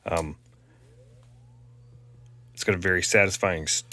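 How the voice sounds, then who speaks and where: A man's voice: low-pitched, fairly even intonation, sharp tone, a little hiss or hum. A man from Canada giving a rambling, casual show-and-tell about restoring an old tape machine, stopping often with fillers and restarts. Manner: rambling, halting, lots of fillers.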